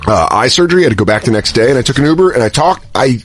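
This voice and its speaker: A male comedy podcast host with a very animated, swinging delivery at an average pitch. He chats casually and riffs freely, with slang and swearing mixed in. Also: rapid-fire, soft background music. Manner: riffing, profane, slangy. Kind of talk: casual comic chat.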